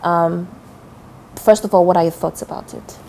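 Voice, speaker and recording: Female interviewer, low and warm, halting questions. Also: fairly even intonation, vocal fry, a little hiss or hum, rough recording. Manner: hesitant questions with many "mm" backchannels.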